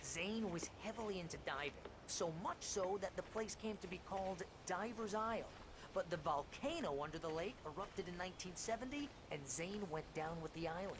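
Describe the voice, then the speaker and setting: A chatty, brash sidekick in a game. He is excitable, with clear ambient noise around his voice. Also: sits high, moderate pace. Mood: excited.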